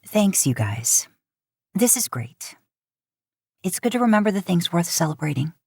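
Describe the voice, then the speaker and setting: A woman voicing a middle-aged female sheriff in audiobook dialogue, sincere and gently wry. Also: lowish voice, big pitch swings, measured pace, creaky, husky, no room sound, studio quality, full character voice. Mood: grateful, wry.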